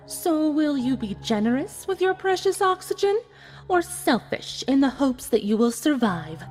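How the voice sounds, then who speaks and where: A woman's voice: sits high, sing-song, a little slow, a little mellow, soft background music. A woman voicing a coldly polite, smug pony villain in a dramatic fan fiction reading, gloating in formal, theatrical phrases. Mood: smug menace.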